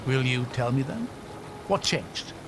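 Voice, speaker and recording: A man's voice: mid-range voice, lively intonation, unhurried, noisy background, lightly acted. A man acting a wry, regretful fugitive companion. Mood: gently probing. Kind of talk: video game cinematic acting.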